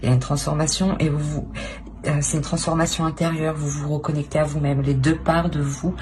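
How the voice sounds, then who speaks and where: A woman's voice: low-pitched, little pitch movement, a little fast, noisy background. A woman giving a casual tarot reading to her viewers, hesitant, with many fillers and restarts. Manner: hesitant, many "euh" and restarts, soft-spoken asides. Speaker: tarot reader.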